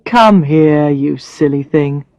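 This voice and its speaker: Woman voicing a low, gentle young man. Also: animated, normal speed, no echo, lightly acted. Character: kindly, tired young male boss.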